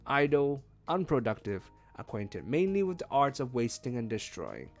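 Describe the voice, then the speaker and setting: A man reading a novel aloud as a streamed read-along, with expressive, emphatic phrasing. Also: medium pitch. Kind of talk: read-along audiobook narration.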